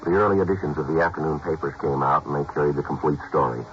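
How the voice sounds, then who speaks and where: An actor playing a terse police detective sergeant who narrates his own case in flat, matter-of-fact sentences, from a 1950s radio drama. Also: rumbling low, fast. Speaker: lead character and narrator. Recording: dull, noisy old radio broadcast.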